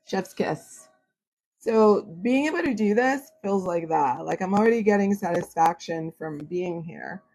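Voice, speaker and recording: A woman's voice: fairly low pitch, lively intonation, unhurried, a little mellow, low-quality recording. A woman whose first language is French, hosting an astrology livestream and chatting casually with viewers, full of "like" and "you know". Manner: self-described formal when speaking English.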